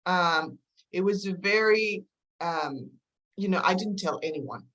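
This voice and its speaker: A woman with a mixed Eastern European and Australian accent, telling her story in a halting, reflective way as an interview guest. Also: lowish voice, fairly bright tone, poor audio. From former Yugoslavia, moved to Australia at eleven. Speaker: podcast guest, counselor.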